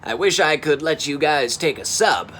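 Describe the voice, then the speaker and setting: A man's voice: on the high side, expressive, moderate pace, fairly bright tone. Emphatic dinosaur boss, acted by a man. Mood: rueful. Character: helpful, slightly worried male dinosaur boss at sea.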